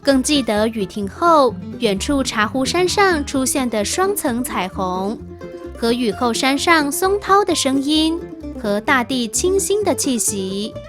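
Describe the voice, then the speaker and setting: A woman with a high, very melodic voice reads literary prose. Her delivery is very slow, with guitar music clearly behind her. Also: big pitch swings. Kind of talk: slow scripted reading of literary Mandarin passages.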